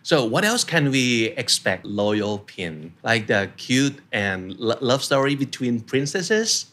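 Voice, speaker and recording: Very low-voiced woman host. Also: takes it slowly. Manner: relaxed, with fillers and soft agreement. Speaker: interviewer host. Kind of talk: casual interview chat.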